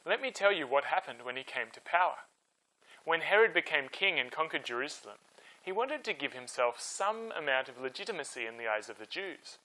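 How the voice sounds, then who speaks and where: Animated, bright-voiced male storyteller. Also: a little high, a little fast, rough recording. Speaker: storyteller host. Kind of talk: animated scripted historical storytelling.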